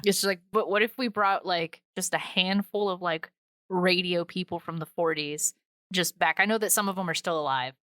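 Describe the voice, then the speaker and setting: A woman in her twenties co-hosting a podcast in casual chat, animated and slangy, swearing freely. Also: lowish voice, moderate pace, no echo. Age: 20s. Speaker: podcast co-host.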